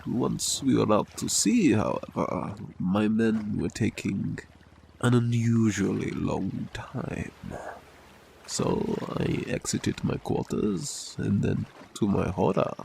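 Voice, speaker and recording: Man acting as a slow, pompous, gravelly gentleman villain. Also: lowish voice, a little hiss or hum, exaggerated voice. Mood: pompous storytelling. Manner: grandiose, flowery, formal. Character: pretentious, theatrical male crime boss telling tall tales. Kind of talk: scripted audio drama acting.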